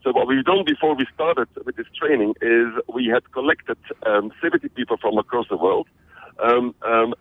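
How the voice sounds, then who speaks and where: A man's voice: medium pitch, no echo. A man from Belgium, a guest in a radio interview, talking energetically and often repeating words as he starts a sentence. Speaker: interview guest, conference organizer. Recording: tinny phone-like radio line.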